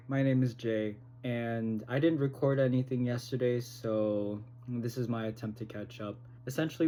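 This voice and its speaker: Man vlogger, low mellow voice, slow and halting, recorded on a phone. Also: a little hiss or hum. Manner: halting, hesitant, with many pauses. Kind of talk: casual vlog talk.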